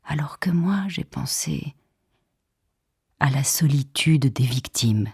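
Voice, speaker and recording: A woman reads poetry for an audiobook in a solemn, measured, expressive way, with deliberate pauses and hushed phrases. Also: rumbling low, slightly rough, no echo, clean studio sound. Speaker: poetry reader. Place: radio theatre studio. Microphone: close studio microphone.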